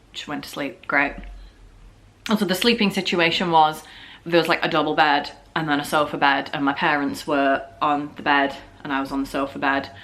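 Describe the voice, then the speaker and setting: A woman's voice: low voice, pushed and bright. A young woman with a slightly sore, scratchy throat, chatting through a storytime vlog at home with lots of "like"s and jokes. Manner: chatty, jokey, full of filler "like"s. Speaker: vlogger. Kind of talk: casual storytime chat.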